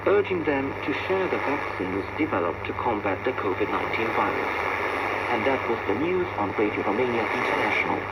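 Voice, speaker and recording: A man's voice: medium pitch, very animated, a little fast, vocal fry. A man reads a scripted international news bulletin as a radio newsreader, heard over shortwave. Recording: very noisy, dull shortwave radio reception. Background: loud radio static and noise.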